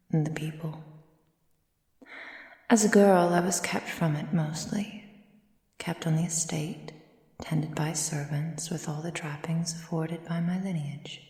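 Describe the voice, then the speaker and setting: A woman playing an ancient, proud, possessive aristocratic vampire in a soft, breathy ASMR roleplay with formal, measured delivery. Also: low-pitched, fairly even intonation. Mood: wistful, reserved. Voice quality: breathy, soft, often near whisper. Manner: slow, formal, measured, imperious. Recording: roomy sound with long reverb.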